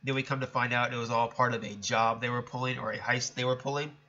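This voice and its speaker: A man's voice: mid-range voice, steady tone, fast, pushed and bright, dull audio. A man hosting a casual solo commentary show, talking in a chatty, rambling way with a stuffed-up, nasal voice. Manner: chatty, rambling, self-correcting. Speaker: podcast host.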